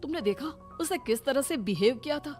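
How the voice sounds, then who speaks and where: A woman's voice: high-pitched, animated, fairly quick, a little mellow, faint music behind. Woman acting a firm older father-in-law. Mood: concerned, plotting. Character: scheming, exasperated older father-in-law, head of household. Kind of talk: cartoon moral story acting.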